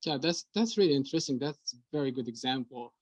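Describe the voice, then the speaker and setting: A man's voice: medium pitch, expressive, quick pace, darkish tone, no room sound. A man interviewing over a video call, reading out numbered questions with hesitant fillers.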